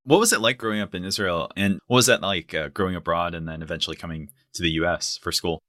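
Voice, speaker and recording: A man's voice: low voice, quick pace, pushed and bright, slight background noise. A man hosting a podcast interview, upbeat and enthusiastic as he asks his questions. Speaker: podcast host.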